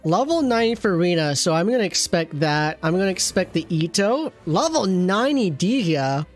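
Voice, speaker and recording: Game streamer, middle pitch, casual live commentary, rambling, teasing, chatty, faint background music. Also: big pitch swings, normal speed.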